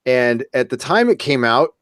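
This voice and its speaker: A man's voice: medium pitch, big pitch swings, moderate pace, a bit bright, dry recording, clean studio sound. A man hosting a podcast, talking casually and informally about fandom. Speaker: podcast host. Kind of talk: casual fan podcast discussion.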